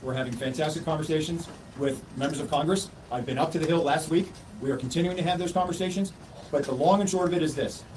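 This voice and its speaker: A man in an official role answers press questions at a quick pace. His phrasing is formal and emphatic, and he sounds away from the mic with clear noise behind him. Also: a little high, a little mellow. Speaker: Secret Service official. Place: press conference. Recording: noisy, distant press conference pickup.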